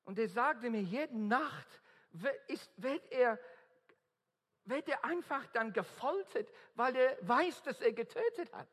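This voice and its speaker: A man's voice: very high voice, animated, takes it slowly, a bit bright, slightly rough. A man with an Australian accent preaching an earnest, emphatic sermon in German, often searching for his words. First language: English. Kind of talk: evangelistic sermon. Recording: long reverb of a hall.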